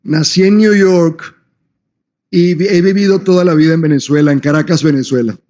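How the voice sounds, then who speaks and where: A man as a remote guest on a dull, lo-fi feed, giving short, calm answers. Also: on the high side, fast.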